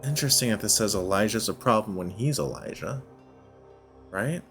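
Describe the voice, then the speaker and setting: A man's voice: lowish voice, animated, moderate pace, fairly bright tone. A man giving casual let's play commentary, thinking aloud and often questioning himself as he goes. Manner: musing, self-questioning. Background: background music.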